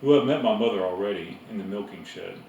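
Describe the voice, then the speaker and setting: A middle-aged farm mother from a storybook, read by a man, brisk and knowing. The delivery is plain-spoken and matter-of-fact. It sounds roomy and away from the mic, with faint noise. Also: fairly low pitch, gravelly, dark tone, far from the mic, muffled recording.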